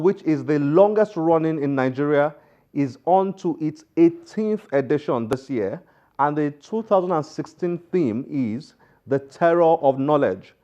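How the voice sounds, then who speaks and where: Man, fairly high voice, scripted presenter narration, slightly dull recording. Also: warm tone. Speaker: book programme presenter.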